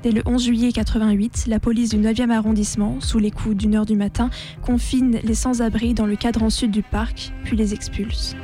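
A woman's voice: on the high side, flat delivery, moderate pace, music in the background. A woman reading scripted documentary narration.

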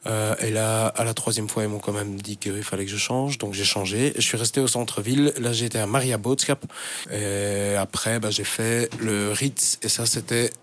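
A man's voice: lowish voice, fairly even intonation, moderate pace. An older man from Brussels, a radio guest talking candidly in a studio interview, full of fillers and restarts. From Brussels, Belgium. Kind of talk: casual interview chat.

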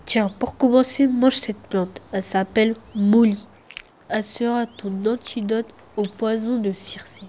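Teen boy as boastful young god, high unbroken-sounding voice, mellow, breezy, slangy, audio drama. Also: steady tone, a little slow, a little hiss or hum. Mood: instructive. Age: teenager. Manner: slangy, offhand.

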